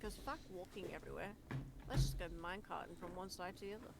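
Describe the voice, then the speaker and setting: A woman's voice: fairly low pitch, fast talker, creaky, heavy background noise. A woman hosting a live gaming stream, chatting informally and playfully with friends, with some swearing. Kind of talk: casual gaming stream chat.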